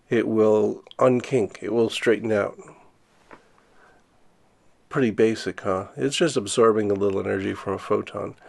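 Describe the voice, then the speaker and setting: Older male educator with a cold: gravelly, stuffy, plain casual explaining. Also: medium pitch, darkish tone. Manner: unhurried, restarts phrases while explaining. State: congested from a bad cold. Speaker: science educator.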